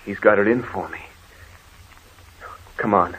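An actor playing a concerned, steady brother in an old radio horror drama, trying to reason and reassure. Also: male voice, fairly low pitch, measured pace, slight background noise. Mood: subdued, reassuring. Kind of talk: vintage radio horror acting. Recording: dull old-time radio recording.

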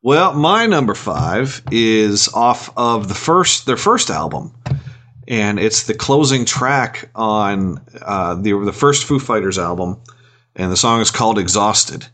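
A man co-hosting a casual podcast chat, talking in a halting way with many repeated words. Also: lowish voice, sing-song, a bit bright. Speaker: podcast co-host.

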